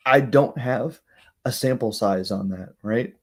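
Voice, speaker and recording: A young man hosting a livestream with casual commentary, speaking in a rambling, halting way and often checking in with tag questions. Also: mid-range voice, slightly rough. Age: young adult. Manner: rambling, halting asides, frequent "right?" tags. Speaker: livestream host.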